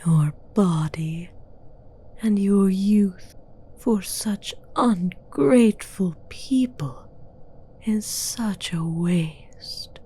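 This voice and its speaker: A woman voicing a haughty, seductive female demon villain in a whispered, breathy ASMR fantasy roleplay, speaking formally and with condescension. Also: lowish voice, warm tone, a little hiss or hum. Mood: coaxing, disdainful. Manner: slow, deliberate, condescending, formal.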